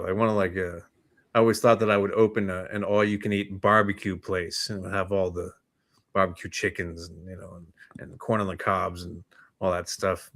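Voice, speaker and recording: Low-voiced American man in easygoing chat. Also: fairly bright tone. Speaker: talk show co-host. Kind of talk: casual chat.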